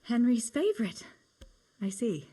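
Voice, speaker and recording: A woman's voice: a little high, big pitch swings, a little slow, warm tone, breathy edge, heavily acted. A young woman playing a wry, reluctant miller's daughter in a medieval video game, with a dry manner. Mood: wry, resentful. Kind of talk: video game cutscene acting.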